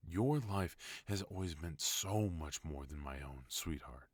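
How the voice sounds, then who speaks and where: Casting: a man as a stern but tender mafia boss husband, very deep voice, dry close mic. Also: measured pace, breathy edge, no echo. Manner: low, firm, soft-spoken pleading. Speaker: voice actor. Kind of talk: ASMR roleplay.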